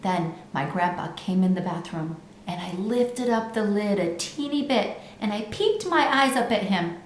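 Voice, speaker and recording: A woman's voice: medium pitch, lively intonation, measured pace, lightly acted. A woman reading a children's book as a brash, bragging little girl who talks in childlike, emphatic bad grammar. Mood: chatty, confiding. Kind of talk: children's book read-aloud. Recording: roomy echo with background noise.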